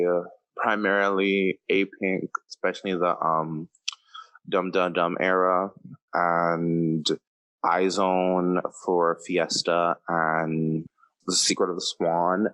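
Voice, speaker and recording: Male podcast co-host, deep pitch, casual conversational delivery. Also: rough recording.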